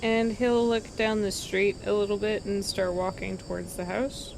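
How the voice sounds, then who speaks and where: A woman's voice: medium pitch, level tone, moderate pace, vocal fry. A woman casually narrating her character's actions as a player in a tabletop role-playing game. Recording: noisy track with cricket ambience.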